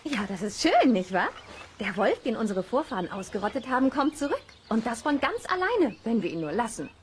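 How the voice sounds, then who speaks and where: An actress playing a friendly, knowledgeable wolf biologist in a children's audio drama, speaking politely and explaining things with gentle scepticism. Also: female voice, a little high, very animated, fairly quick, slight background noise. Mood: pleased.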